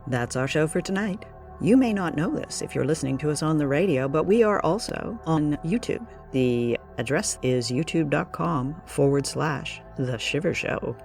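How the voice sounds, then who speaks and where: A woman's voice: sits low, expressive, normal speed, vocal fry, a little mellow, faint music behind. A woman hosting a radio show in a casual, chatty style, with a light, playful manner. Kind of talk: casual hosted chat.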